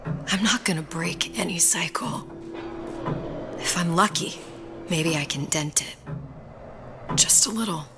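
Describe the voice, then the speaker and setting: Game role: brooding young woman, guilt-ridden yet resolute, low voice near a whisper, heavy music bed. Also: measured pace, pauses often. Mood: bitter, resigned. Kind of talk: dramatic video game acting.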